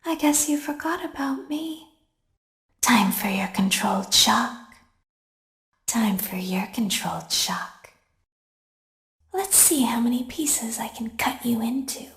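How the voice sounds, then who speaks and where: High, gravelly cruel robot girl in an echoey mix. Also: animated, slow, pauses often, a bit bright, heavily acted. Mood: taunting. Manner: cold, taunting. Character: cold female robot, cruel.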